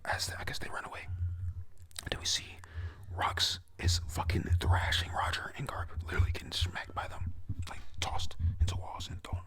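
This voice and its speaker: A young adult whispering a rambling, excited play-by-play recap at home, full of fillers. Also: normal speed. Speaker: anime episode recapper. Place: at home with family nearby.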